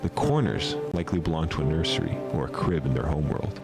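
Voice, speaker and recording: A man playing an obsessed researcher, narrating analog horror in a measured, formal, clinical way. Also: very deep voice, big pitch swings, over loud music, heavily acted. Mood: clinical, fascinated. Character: obsessed male researcher documenting otherdimensional creatures at home.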